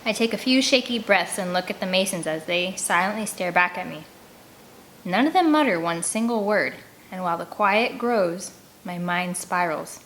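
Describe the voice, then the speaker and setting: A woman's voice: lowish voice, lively intonation, normal speed, a bit bright, a little hiss or hum, poor audio. A woman reading a first-person story aloud expressively, as an audiobook narrator. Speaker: audiobook reader.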